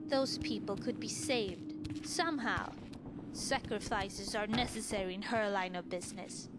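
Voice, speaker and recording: A woman's voice: mid-range voice, unhurried, close to an everyday voice. A woman voicing a plucky, inquisitive young boy in a video game, blunt in manner and Russian-accented. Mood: insistent. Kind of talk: video game character dialogue. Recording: loud music under the voice.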